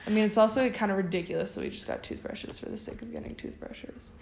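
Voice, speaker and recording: A woman's voice: mid-range voice, fast talker, gravelly, a little mellow. Young woman, casual podcast co-host, filler-heavy chat; roomy recording, light hiss. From United States. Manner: chatty, many fillers.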